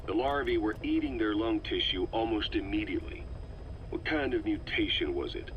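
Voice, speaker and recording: A man's voice: on the low side, expressive, normal speed, a bit bright, muffled recording, a little hiss or hum. An actor playing a serious middle-aged military commander in a game, questioning tersely as he probes for answers. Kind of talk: investigative dialogue in dramatic game voice acting.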